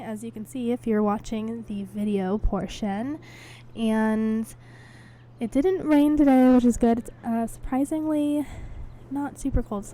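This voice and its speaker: Young female podcast host, hoarse from a cold, relaxed dark-toned, chatty and rambling. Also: fairly high pitch. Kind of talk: casual solo chat. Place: home, indoors.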